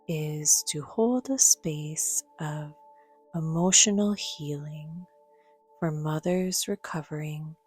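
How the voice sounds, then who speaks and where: A woman guiding a yoga nidra meditation in a soft, breathy, half-whispered voice, gentle and soothing, with measured pauses. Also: low voice. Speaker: guided meditation teacher.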